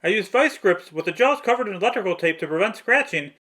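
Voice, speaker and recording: A man's voice: high-pitched, expressive, fast, sharp tone. A man reading a scripted, step-by-step technical tutorial narration.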